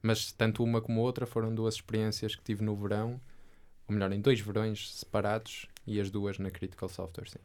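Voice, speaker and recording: Young man, european portuguese accent, lowish voice, smooth clear tone, hesitant fillers. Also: little pitch movement, a little fast, darkish tone. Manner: hesitant, many fillers and restarts while reading. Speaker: podcast co-host. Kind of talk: casual tech news chat.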